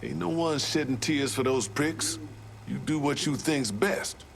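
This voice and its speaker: A man's voice: a little high, a little slow, vocal fry. An actor voicing a streetwise, embittered Southern man in a video game, with folksy, salty talk. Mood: wry, satisfied. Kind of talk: video game cutscene acting.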